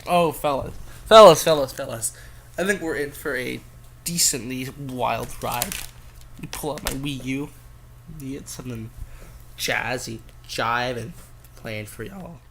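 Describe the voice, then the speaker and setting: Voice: medium pitch, a little slow, pauses often, a bit bright, a little hiss or hum. A host casually reading fan fiction aloud and rambling off into irreverent, giggly side comments. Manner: giggly, irreverent, easily sidetracked.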